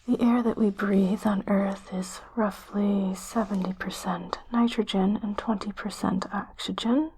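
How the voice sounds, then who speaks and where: A woman playing a calm, reassuring sci-fi doctor in a hushed, soothing whispered ASMR roleplay. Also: mid-range voice, a little hiss or hum, lightly acted, poor audio. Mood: gentle reassurance. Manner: slow, soothing, hushed delivery. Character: calm, reassuring female doctor on an alien-planet expedition.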